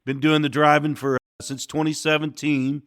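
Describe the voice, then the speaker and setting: Male podcast host, steady and easygoing, dry studio sound. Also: fairly high pitch, normal speed, dry recording. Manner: affable, steady, with filler "uh"s. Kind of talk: interview podcast hosting.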